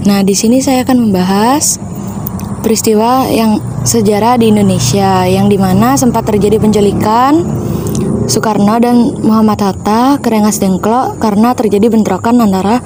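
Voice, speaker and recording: A woman's voice: mid-range voice, background noise. A teenage girl presenting school topics on a student podcast in an earnest, informative way. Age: teens. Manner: earnest, informative, explaining history.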